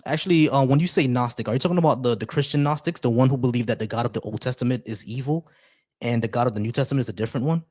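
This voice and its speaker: A man hosting a casual live stream, relaxed and chatty, greeting viewers with shout-outs and often restarting his sentences. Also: medium pitch, racing. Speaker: livestream host.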